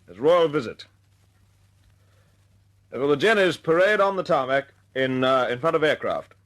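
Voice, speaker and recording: A man acting in a radio drama as a young British bomber squadron leader, genial and wry, with a husky voice and a halting, conversational British delivery. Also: fairly high pitch, lively intonation, fairly bright tone. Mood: brisk, wry.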